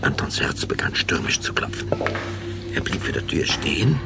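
A man's voice: rumbling low, big pitch swings, moderate pace, gravelly, over loud music. A man narrating a children's radio play as a theatrical, suspenseful storyteller. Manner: suspenseful, theatrical, shifting to whispers.

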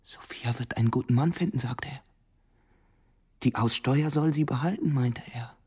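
An actor in a literary German radio drama playing a poor, jealous suitor, intense and insistent, with a menacing, possessive love. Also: male voice, medium pitch, normal speed, darkish tone, slightly rough. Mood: grave, reporting.

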